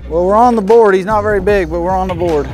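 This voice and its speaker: Young man, slightly high voice, casual fishing commentary, outdoors on a lake, clip-on mic, music in the background. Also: fast talker. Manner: casual, talks to himself and the camera crew between casts. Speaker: professional bass angler. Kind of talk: running commentary while fishing. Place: outdoors on a bass boat on an open lake.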